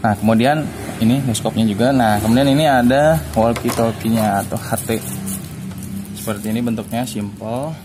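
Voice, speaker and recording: A man giving a casual hands-on unboxing review, informal and halting as he searches for words. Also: mid-range voice, noisy background. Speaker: product reviewer.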